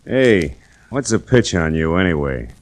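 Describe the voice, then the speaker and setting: A man playing a gruff, touchy middle-aged nightclub racket boss in a 1940s radio crime drama, blunt and evasive. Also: low-pitched, big pitch swings, unhurried, background noise. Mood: irritated, guarded.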